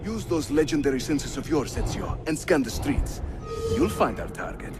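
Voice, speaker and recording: A man's voice: a little high, animated, normal speed, loud music behind the voice, lightly acted. A male actor playing a spirited, teasing Ottoman assassin leader in a video game, with a jovial, theatrical manner. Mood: wry, confident.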